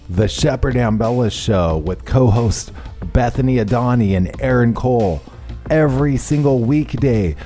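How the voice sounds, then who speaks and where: A man with a very deep, dark voice reads a show promo slowly and deliberately. Music plays faintly under him. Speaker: show host. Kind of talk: promo read.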